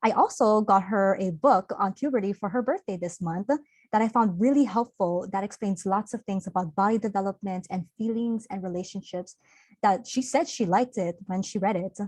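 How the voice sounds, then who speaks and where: A woman's voice: medium pitch, a little mellow, no echo. A young woman giving an online workshop talk over a video call, earnest and open, chatting naturally with fillers. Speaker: workshop presenter.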